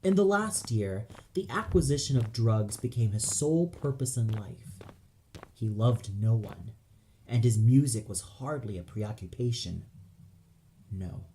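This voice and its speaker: A man's voice: medium pitch, expressive, a little slow, warm tone, a little hiss or hum, low-quality recording. A man narrating an audio play in a dramatic scripted style, solemn and theatrical.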